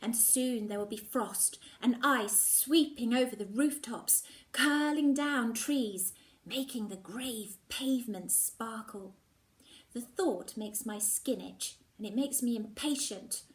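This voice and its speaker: A woman teacher reading a novel aloud, expressive and slipping into whispers at tense moments. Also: a little high, slow, recorded from a distance. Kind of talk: storybook reading.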